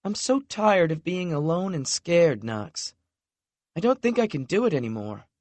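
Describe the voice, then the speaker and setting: A man's voice: animated, normal speed, dry recording, close to an everyday voice. A man reading an audiobook, voicing a vulnerable, emotional woman who worries about losing the people she loves. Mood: tearful. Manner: wobbly, raised pitch, soft.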